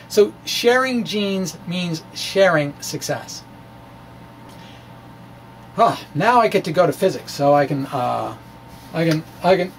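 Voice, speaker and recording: A man giving a dramatic, halting reading of his physics blog, with self-deprecating off-script asides. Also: sits high, slight background noise, low-quality recording. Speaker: physics blogger presenting his own talk.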